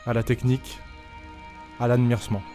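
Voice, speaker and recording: A man reading scripted credits in a formal, even manner. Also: mid-range voice, moderate pace, soft background music. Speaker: credits announcer.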